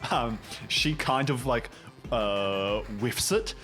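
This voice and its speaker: A man's voice: mid-range voice, takes it slowly. A man improvising comic narration as game master of a tabletop game, often breaking into giggles as he talks. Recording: music playing behind the voice.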